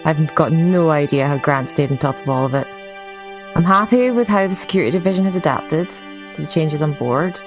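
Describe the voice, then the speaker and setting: A woman's voice: low voice, lively intonation, fairly quick. A woman playing a conscientious, exasperated starship security chief, recording an informal, dry log for a sci-fi game podcast. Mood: measured, reflective. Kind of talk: in-character scripted log narration. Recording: music under the voice.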